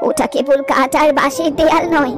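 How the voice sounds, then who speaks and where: A woman playing a wistful, gentle old village grandmother who tells river lore with poetic, reflective pauses in a cartoon story. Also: high voice, steady tone, music in the background, lightly acted. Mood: mysterious, gentle. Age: older.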